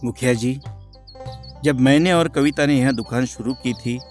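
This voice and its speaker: A man's voice: mid-range voice, moderate pace, a little mellow, soft background music, lightly acted. A man voicing a loyal, principled young village husband in a cartoon, earnest and reasoning. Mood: hurt.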